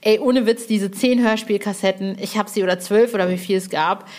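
A woman's voice: medium pitch, fairly even intonation, brisk, a little mellow. A woman hosting a podcast interview in casual, effusive fan chat, with colloquial slang and the odd swearword.

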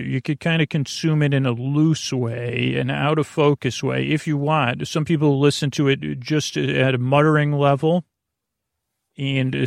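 Creaky-voiced man rambling on a bedtime podcast. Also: medium pitch, no room sound, clean studio sound. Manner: meandering, halting, self-interrupting; gently absurd, mildly humorous. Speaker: podcast host. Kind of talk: rambling scripted-loose bedtime podcast monologue.

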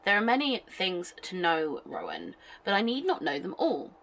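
An actress playing a devout, dismissive cult member in an audio drama, speaking in formal, cryptic phrases. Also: female voice, mid-range voice, huge pitch swings, moderate pace, gravelly, fairly bright tone, heavily acted. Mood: challenging.

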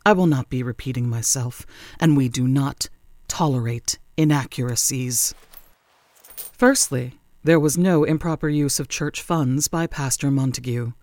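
A woman playing an imperious, cold aristocratic official who speaks in a measured, formal way in an audio drama. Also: very deep voice, a little mellow, no echo. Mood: commanding.

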